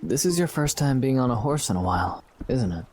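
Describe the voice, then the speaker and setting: A man playing a calm, protective young swordsman who speaks reassuringly in an audio drama. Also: medium pitch, fairly quick, soft background music, natural voice. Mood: casual.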